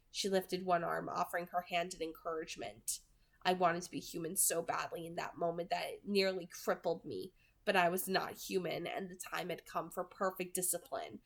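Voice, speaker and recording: A woman in her twenties who reads books aloud and talks about them. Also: lowish voice. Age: 20s. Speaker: reader and reviewer on a read-aloud channel. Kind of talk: audiobook-style reading, then casual book commentary.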